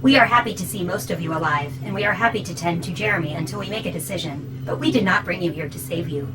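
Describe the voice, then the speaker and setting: A woman's voice: on the low side, quick pace, close to an everyday voice, poor audio. Woman doing polite robot servant for an audio drama, formal n precise, cold logic, music under it. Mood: politely menacing. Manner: formal, precise, matter-of-fact. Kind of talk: scripted audio drama acting. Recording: music behind the voice.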